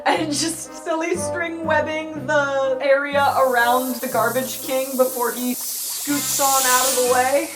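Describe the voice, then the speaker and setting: A woman with a fairly high voice plays a tabletop game, speaking casually. She sounds clearly roomy, with music in the background. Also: steady tone, slow pace, hardly any pauses. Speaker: player. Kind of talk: casual tabletop roleplay chat.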